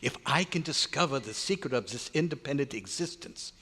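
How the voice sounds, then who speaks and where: A man playing an excitable, authoritative German scientist in old-time radio horror, with a German accent and dramatic, formal, emphatic delivery. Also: medium pitch, fairly quick, husky. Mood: grave, intent. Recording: live hotel meeting room, long echo.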